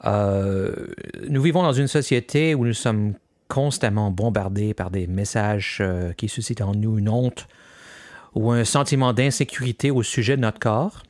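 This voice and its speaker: A man's voice: lowish voice, unhurried, a little mellow, dry recording, clean studio sound. A man hosting a podcast, hesitating often with fillers and restarts, his first language French though he now lives mostly in English. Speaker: podcast host. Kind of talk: scripted podcast introduction.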